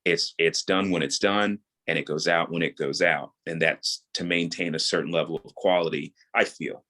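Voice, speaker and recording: A man with a notably low-pitched voice speaks as an interview guest. He explains things in a measured, deliberate, reflective way, as a creator describing his craft. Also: fairly even intonation, pushed and bright. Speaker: guest, audio drama creator and voice actor. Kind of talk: reflective podcast interview talk.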